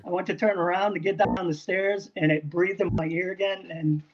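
A man guest on a video call, telling his own story with laughs and animated quoting. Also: sits high, brisk, a crowd in the background. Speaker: guest, caretaker of a haunted house. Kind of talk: casual interview chat. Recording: dull noisy video-call audio.

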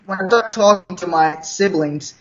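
A man's voice: high-pitched, moderate pace, fairly bright tone. A young man from Liberia with an American accent, telling his story casually as an interview guest, with restarts and chuckles. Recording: dull lo-fi sound.

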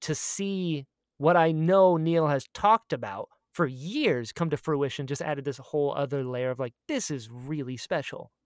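A podcast co-host of ambiguous-sounding sex with a medium-pitched voice, recorded close and dry. The delivery is casual and gushing, full of a fan's enthusiasm, with phrases repeated for emphasis. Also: unhurried, no room sound. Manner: acts out quoted exchanges in storytelling. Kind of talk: casual chatty podcast catch-up.

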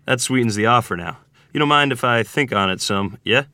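A man's voice: mid-range voice, a little fast, bright, forceful tone, no echo, clean studio sound, lightly acted. A man playing a rugged, easygoing ferryman of thirty-five, folksy and laconic, at a table read of a period drama. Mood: wry, courteous.

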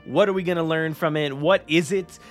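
A man's voice: on the high side, a little fast, soft background music. A man hosting a podcast, chatting casually and informally in a rambling way. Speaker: podcast host. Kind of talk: casual chatty show intro.

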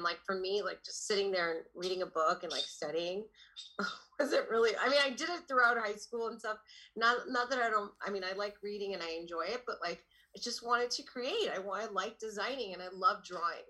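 Bright-voiced young woman, full of "like". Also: mid-range voice, low-quality recording. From Los Angeles. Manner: filler-heavy, lots of "like" and "um". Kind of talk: casual interview chat.

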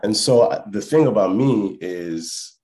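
A man being interviewed casually on a podcast as a musician, thinking aloud haltingly and often checking that the listener follows. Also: fairly low pitch, animated, dark tone. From born in France, lived in Canada and Texas, Congolese family. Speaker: hip hop musician, interview guest.

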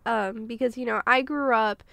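A woman's voice: a little high, fairly even intonation, normal speed, gravelly, fairly bright tone, dry recording. A woman co-hosting a podcast in casual chat, sounding sniffly and congested from a cold. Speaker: podcast co-host.

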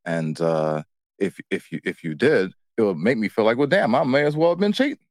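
A man's voice: on the low side, expressive, brisk, no echo, clean studio sound. An American man co-hosting a casual relationship podcast, teasing and colloquial, repeating himself for emphasis. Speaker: podcast co-host.

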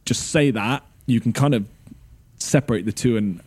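A man's voice: medium pitch, moderate pace, darkish tone, husky, dry recording. A man hosting a podcast, musing reflectively in casual conversation.

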